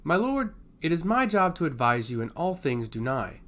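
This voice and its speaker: A man's voice: a little high, big pitch swings, normal speed, a bit bright. A man voicing a stoic, elderly female royal advisor in a fantasy story, formal and deferential. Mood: earnest, grave.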